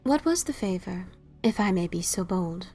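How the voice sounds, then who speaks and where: A woman playing a calm, wise and mysterious female pony, speaking formally and with gentle, measured probing in an audio drama. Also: fairly low pitch, breathy edge, soft background music. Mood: curious. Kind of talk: audio drama dialogue of fan fiction.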